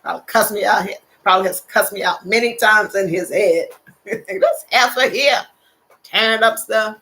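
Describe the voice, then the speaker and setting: A woman's voice: medium pitch, lively intonation, moderate pace, pushed and bright, low-quality recording. An older woman hosting a casual livestream, chatting with viewers, laughing often and repeating her phrases. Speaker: livestream host. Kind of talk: casual live chat reading viewer comments.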